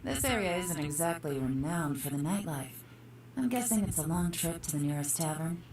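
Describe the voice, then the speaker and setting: Brief: a low, dry-witted spy, game audio from afar with music. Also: female voice, animated, moderate pace, a little mellow, roomy sound, recorded from a distance, slight background noise. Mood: cool. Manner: cool, knowing, dry. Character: sly, self-assured female spy.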